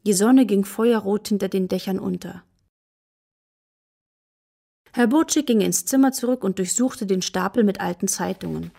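A woman as the calm, measured, deadpan narrator of a German children's radio play. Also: mid-range voice, vocal fry. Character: calm female storyteller of a children's tale. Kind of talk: scripted narration.

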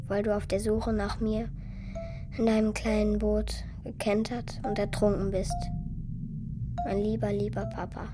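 A woman's voice: mid-range voice, lightly acted. A woman playing a small wooden puppet boy, brave, earnest and precocious, with a childlike lecturing manner in a children's radio play.

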